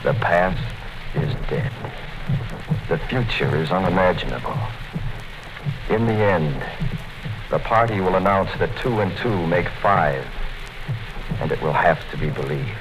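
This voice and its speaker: A man's voice: low-pitched, expressive, a little slow, pauses often, noisy background. An actor in a 1950s radio drama, playing a brooding male writer in a somber, inward monologue. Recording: old radio broadcast with music.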